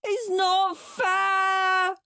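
A woman voicing a bratty, defiant young boy who whines and shouts in a children's audiobook. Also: very high-pitched, lively intonation, unhurried, dry recording, voice pushed up. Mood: wailing defiance. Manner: whiny, shouty, indignant.

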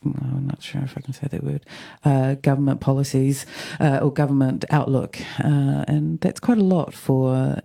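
A New host speaks alone on air in a croaky, gravelly voice that sits very dark and mellow. The delivery is flat and unhurried, meandering and thoughtful, with a soft-spoken, reflective manner. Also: mid-range voice. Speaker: radio host. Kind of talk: casual reflective solo talk.

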